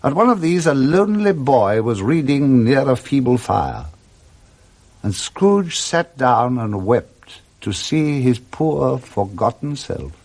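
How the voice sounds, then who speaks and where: A man narrating a literary tale for 1960s radio drama in a theatrical, expressive storytelling manner. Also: mid-range voice, slow pace.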